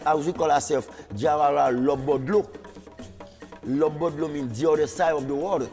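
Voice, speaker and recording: A man's voice: on the high side, fairly even intonation, moderate pace, darkish tone, soft background music. A middle-aged man from Haiti with a Haitian accent, reflective and loose with fillers as he talks in a documentary interview. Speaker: rara band member.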